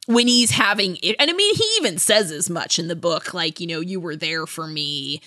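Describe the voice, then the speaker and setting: A woman's voice: lowish voice, expressive, normal speed, pushed and bright, no room sound. A woman co-hosting a podcast, talking through a book casually in a thoughtful, hesitant way. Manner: hesitant, thoughtful, hedging delivery. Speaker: podcast co-host.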